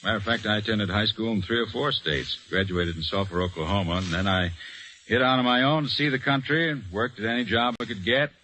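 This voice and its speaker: Forceful, earnest young Army corporal. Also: male voice, fairly low pitch, brisk. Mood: plain, reflective. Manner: plain-spoken, earnest, folksy. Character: earnest, determined young Army corporal telling his story. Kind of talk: old-time radio drama acting. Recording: noisy old radio broadcast recording.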